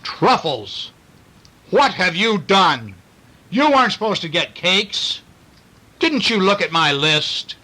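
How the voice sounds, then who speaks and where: Man doing a high-voiced mother pig. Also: sing-song, bright, forceful tone, heavily acted. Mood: scolding. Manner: motherly, drawn-out calling. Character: mother pig, calling and scolding her naughty son. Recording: noisy amateur home recording.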